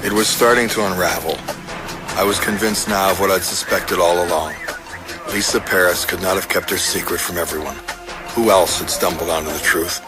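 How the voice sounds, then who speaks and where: An actor playing a suspicious, brooding police detective, terse and deliberate, in an audio crime drama. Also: male voice, low voice, fairly even intonation, natural voice, poor audio. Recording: music behind the voice.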